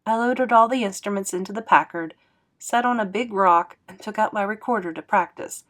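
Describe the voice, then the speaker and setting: Teacher lady reading a kids novel out loud, expressive, mid-pitched voice. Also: a little fast. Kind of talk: expressive storybook narration.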